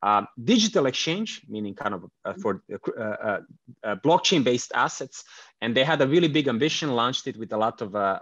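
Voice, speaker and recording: Male guest from Croatia, rapid delivery full of restarts; muffled, dry remote recording. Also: medium pitch, fairly bright tone, dry recording. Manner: fast, hesitant, many restarts and fillers. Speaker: crypto company founder, guest. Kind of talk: conversational interview answers.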